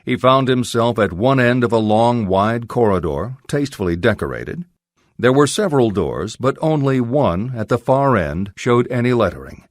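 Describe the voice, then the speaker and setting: A man's voice: mid-range voice, animated, normal speed, dry recording, studio quality. A man narrating a pulp adventure audiobook in a dramatic, scripted storytelling style.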